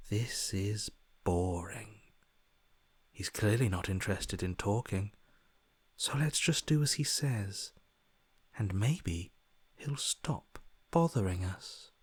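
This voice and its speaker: A man voicing a cold, bored, callous inner voice in a video game, hushed and breathy, with deadpan, dismissive sarcasm. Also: lowish voice, slow, long pauses, dry recording, studio quality. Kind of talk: video game character acting.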